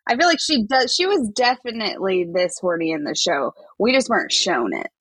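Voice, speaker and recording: A woman co-hosting a podcast in relaxed, informal conversation. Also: mid-range voice, fairly quick, husky, dry recording. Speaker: podcast co-host. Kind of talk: casual chat.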